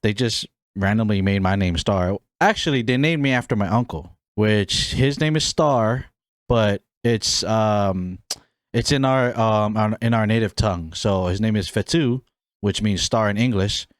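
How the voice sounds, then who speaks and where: A man's voice: fairly low pitch, measured pace, dry recording. A young man from Hawaii hosting his own podcast, chatting casually about himself with a rambling, friendly manner full of fillers and restarts. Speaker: podcast host. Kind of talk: casual solo podcast chat.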